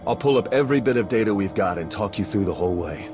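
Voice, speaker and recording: A man's voice: lowish voice, expressive, natural voice. An actor voicing an urgent male intelligence agent in a game. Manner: hurried and urgent. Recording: game audio with music.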